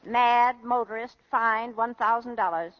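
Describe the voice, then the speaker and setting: A woman's voice: on the high side, unhurried, lightly acted. An actress playing a sardonic, disapproving family woman with a tart, emphatic manner in an old radio drama. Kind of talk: old-time radio acting. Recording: dull old radio broadcast.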